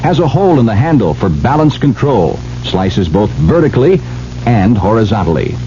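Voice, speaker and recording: A man's voice: fairly low pitch, very animated, normal speed, dark tone, slight background noise. A man reading a 1970s radio commercial as an announcer, with folksy sales patter. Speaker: advertising announcer. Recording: muffled old radio, faint music.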